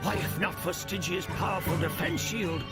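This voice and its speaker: A man's voice: high voice, moderate pace, loud music behind the voice, natural voice. A man playing a melodramatic TV promo announcer in a video game, with overblown narration. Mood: grave hype.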